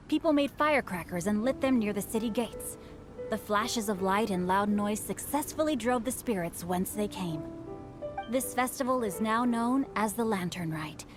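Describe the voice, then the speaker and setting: A woman as a refined, high-voiced young nobleman. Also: close to an everyday voice. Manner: formal, eloquent, theatrical. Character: refined, bookish young nobleman, courteous and self-assured. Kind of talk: video game voice acting.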